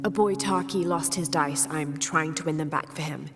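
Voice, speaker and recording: A young female game adventurer with a slightly low voice. She is earnest and sympathetic, asking things in a gentle, measured way. Soft music sits behind her. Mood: curious, polite. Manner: gentle, polite, measured questioning. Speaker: game protagonist. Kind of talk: video game cutscene dialogue. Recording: long reverb, music behind.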